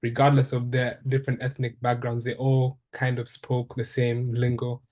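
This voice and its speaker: A young man from Uganda, a fourth-year undergraduate, thinking aloud in a relaxed interview with many ums and restarts. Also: mid-range voice, moderate pace. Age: young adult. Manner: thoughtful, with frequent ums and restarts. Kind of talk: reflective casual conversation.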